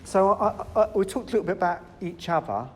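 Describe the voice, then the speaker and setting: A man leads an interview in a chatty, affirming way. He talks quickly, and his voice carries a hall reverb. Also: high-pitched, lively intonation. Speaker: interviewer, artistic director. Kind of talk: casual interview.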